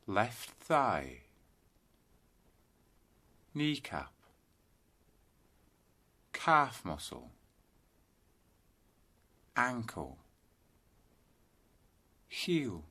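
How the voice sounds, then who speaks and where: A man guiding a yoga nidra meditation in calm, deliberate, instructional phrases with space between them. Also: medium pitch. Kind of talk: guided meditation narration.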